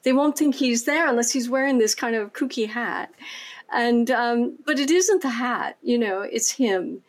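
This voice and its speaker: Enthusiastic woman, halting and chatty. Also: fairly high pitch, lively intonation. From United States. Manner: warm, enthusiastic, halting with fillers. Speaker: singer and performer. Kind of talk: casual interview.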